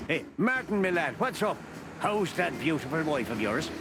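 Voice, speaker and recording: A man's voice: on the high side, big pitch swings, moderate pace. An actor playing a jovial, stubborn old beaver in a video game, with an Irish accent and a teasing, folksy, fatherly manner. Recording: music under the voice.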